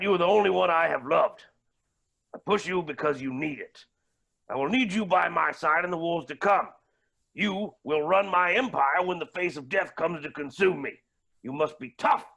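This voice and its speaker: A man playing a villainous, grandiose billionaire in a comic stage play, theatrical and gleefully deranged, with a raspy, gravelly voice. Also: high voice, lively intonation, normal speed, bright, forceful tone, heavily acted. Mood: grandiose menace.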